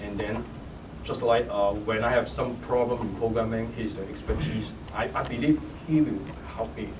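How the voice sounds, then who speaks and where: Startup founder, man with hong kong accent, lowish voice, far off in an echoey noisy hall. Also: steady tone. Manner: halting, many restarts and "you know". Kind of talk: panel Q&A answer. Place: event hall.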